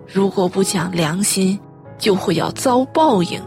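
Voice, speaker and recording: A woman acting a frail, ailing mother in a Chinese radio drama, gentle and weary, with a breathy delivery. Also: mid-range voice, expressive, measured pace, over music. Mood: gently admonishing.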